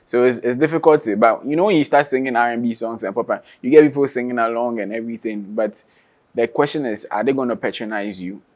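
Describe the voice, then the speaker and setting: A man's voice: medium pitch, fast talker, darkish tone. A young man, a singer and guitarist, chatting casually as an interview guest, laughing often and restarting his sentences.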